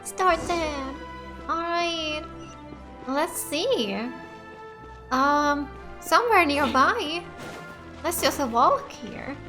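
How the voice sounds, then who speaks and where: A woman's voice: high voice, animated, very slow, pauses often. A woman gamer giving casual let's play commentary and reading dialogue choices aloud. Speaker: gaming YouTuber. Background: game music.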